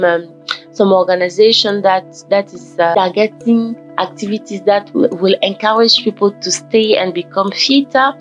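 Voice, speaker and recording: A woman from Ghana talking casually in a motivational vlog, with a croaky just-woken voice and a rambling manner that repeats and corrects itself. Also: medium pitch. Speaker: vlogger. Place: forest fitness trail outdoors. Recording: dull lo-fi audio with faint background music.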